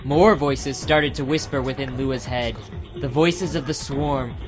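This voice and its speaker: A man's voice: medium pitch, steady tone, measured pace. A man giving scripted, dramatic narration for an audiobook adventure. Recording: music under the narration.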